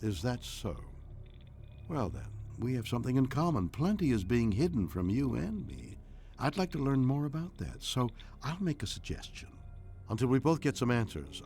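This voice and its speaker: An actor playing an ancient, enigmatic alien entity in a game, speaking calmly and formally in measured, cryptic lines. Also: male voice, mid-range voice. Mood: guarded, assured. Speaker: voice pack character. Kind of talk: scripted game dialogue.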